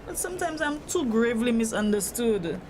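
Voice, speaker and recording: A woman acts out a troubled grown daughter in a drama, with a fairly high voice. She is earnest, confiding and hurt, as if she feels trapped. The sound is a noisy location recording with clear background ambience. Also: very animated, fairly quick, darkish tone. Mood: anguished. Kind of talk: dramatic acted dialogue.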